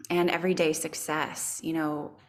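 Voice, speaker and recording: A woman's voice: low-pitched, level tone, moderate pace, a little hiss or hum. A woman hosting a team video call, encouraging and praising her teammates one by one. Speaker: call host, team leader. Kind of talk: casual video-call speech.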